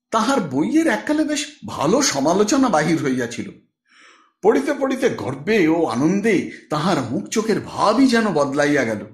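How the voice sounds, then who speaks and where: A man reads literary fiction as an expressive storyteller. His voice sits fairly high and rises and falls widely, with deliberate phrasing. The recording has a clear room echo. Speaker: audiobook narrator, storyteller. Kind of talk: literary scripted narration.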